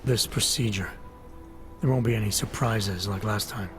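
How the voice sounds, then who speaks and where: A man's voice: fairly low pitch, normal speed, soft background music, natural voice. An actor playing a terse, guarded augmented male agent in a video game cutscene.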